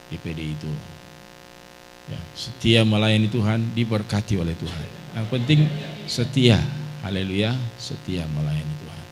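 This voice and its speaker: A man's voice: deep voice, darkish tone, low-quality recording. A middle-aged man from Medan, a pastor, giving a sermon testimony and often inserting "ya" and "saudara" between phrases. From Medan, Indonesia. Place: church sanctuary. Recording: faint mains hum from live stream.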